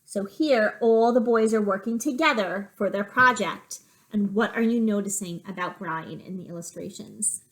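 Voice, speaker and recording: A woman's voice: medium pitch, normal speed, poor audio. A woman teacher reading a picture book aloud to children, with simple explanatory asides.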